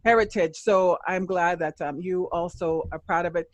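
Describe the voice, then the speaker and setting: A woman's voice: lowish voice, normal speed, highs cut off. Chatty woman, slight Jamaican lilt. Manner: chatty, warm, leans heavily on "you know". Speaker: podcast host. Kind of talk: casual interview chat.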